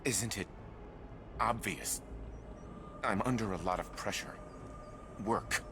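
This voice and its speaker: A man playing a troubled, defensive scientist, speaking in a halting, sardonic and anxious way. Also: lowish voice, background noise. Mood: guarded, strained.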